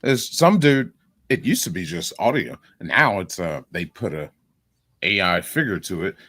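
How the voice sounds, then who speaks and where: Man, lively swooping voice, jokey, quick bursts, dry close sound. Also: sits low, no echo. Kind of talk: casual group chat.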